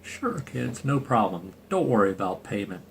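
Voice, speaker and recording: A man's voice: fairly low pitch, very animated, moderate pace. A man voicing a mild, easygoing pet store man from a children's storybook. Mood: matter-of-fact. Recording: clearly noisy home recording.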